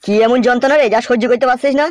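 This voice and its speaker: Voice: mid-range voice, fairly even intonation, very fast, dry recording, natural voice. A voice actor playing a naive, nosy, crude village man in a comedy cartoon, talking in a familiar, inquisitive way. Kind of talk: amateur Bengali comedy cartoon dialogue.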